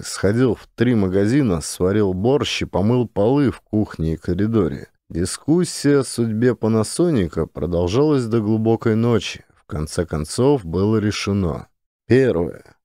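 A man's voice: on the low side, warm tone, dry recording, studio quality. A man narrating a satirical story as a scripted audiobook.

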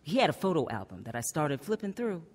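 A woman's voice: sits low, big pitch swings, quick pace, darkish tone. An American actress playing an earnest, troubled woman who recounts her life confessionally in a radio drama. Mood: unsettled. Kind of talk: radio drama narration and dialogue. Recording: radio drama with music underneath.

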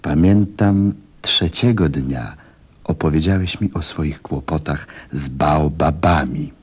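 An actor playing a reflective pilot who narrates a radio play in a wistful, dramatic storytelling manner. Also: male voice, very deep voice, takes it slowly, husky, exaggerated voice. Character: reflective pilot recalling his little friend.

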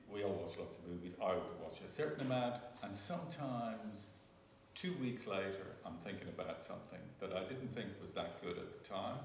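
A man speaking off the cuff in a panel discussion, reflective, in short phrases. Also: deep voice. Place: indoor gallery event space. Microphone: distant camera. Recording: echoey room from far off, some noise.

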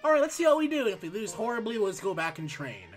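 A man hosting a let's play, reading game text aloud and chatting casually while his voice is going hoarse. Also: sits high, fast talker, soft background music. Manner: reads on-screen text aloud, relaxed asides. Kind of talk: casual gameplay commentary.

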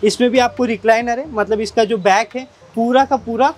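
A man's voice: extremely high pitch, fairly even intonation, normal speed, a little mellow, a little hiss or hum. A man in his thirties, a salon furniture seller, eagerly explaining chairs in an indoor showroom on a lapel mic. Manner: explains eagerly, points at details, repeats phrases. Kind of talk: product demonstration.

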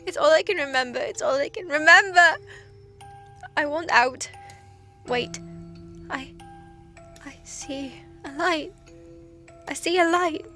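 A woman's voice: sits high, slow, pauses often, sharp tone, faint music behind, full character voice. A woman playing a lonely, forgetful undead woman recording hesitant, intense logs in an audio drama. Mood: desperate. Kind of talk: audio drama log monologue.

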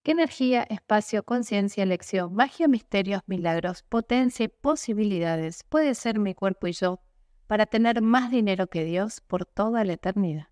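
A young woman, as a facilitator, reciting repetitive clearing statements in a steady, rhythmic way. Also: medium pitch, normal speed, no room sound, clean studio sound.

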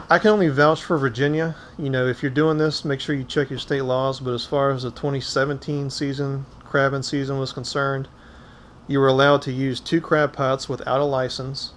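A man casually walking through his gear item by item, as the host of a hands-on fishing vlog. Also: medium pitch. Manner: informal, explaining item by item. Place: indoors in a cluttered garage. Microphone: head-mounted action camera. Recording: clicky, noisy action-camera audio.

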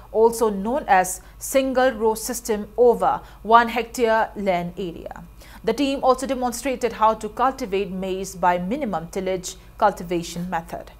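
A woman anchor reading a scripted regional news report in an even, formal newsreader manner. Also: mid-range voice. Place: studio.

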